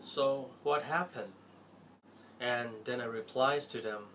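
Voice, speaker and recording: A man's voice: medium pitch, slow, slight background noise, low-quality recording. A young Thai man with a Thai accent, an Apple store salesperson speaking earnestly and pleadingly to camera from a small bedroom. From Thailand. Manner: heartfelt, pleading, expressive swings of loudness. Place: small cluttered bedroom indoors.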